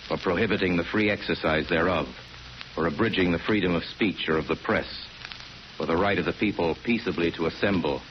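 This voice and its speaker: A man narrates a vintage radio play in a low voice. His delivery is conversational, deliberate and wry. The 1940s recording is dull, with faint noise.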